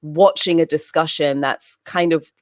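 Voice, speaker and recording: A woman with a low voice, raised in Papua New Guinea and the UK, chats animatedly as an interview guest. She tells stories freely and often breaks into laughter, heard in a dry, close recording. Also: unhurried, dry recording. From grew up in Papua New Guinea, then the UK. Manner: lively storyteller who laughs often. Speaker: interview guest, event host and moderator. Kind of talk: casual interview chat.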